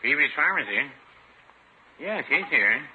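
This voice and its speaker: An actor playing a mild, deadpan, hesitant small-town pharmacist in a 1950s radio comedy. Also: male voice, a little high, slow pace. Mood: dryly amused. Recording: muffled, noisy old radio recording.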